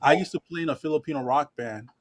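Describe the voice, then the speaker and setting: A musician in a casual group video chat, easygoing and halting, correcting himself as he goes. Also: a little high.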